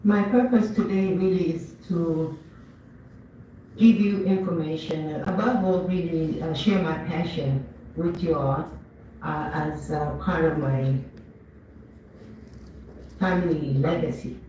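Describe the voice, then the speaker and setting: A speaker from Ethiopia giving an earnest, measured commemorative speech at an event, lifting their voice on key words. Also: medium pitch, steady tone, mellow, a little hiss or hum. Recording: dull, echoey hall, mic far off.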